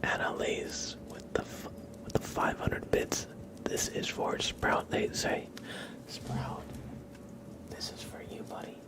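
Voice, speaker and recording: A man whispering gently as he hosts an ASMR live stream, chatting to viewers in a breathy voice and often repeating short phrases. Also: slow. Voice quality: breathy whisper with creaky edges.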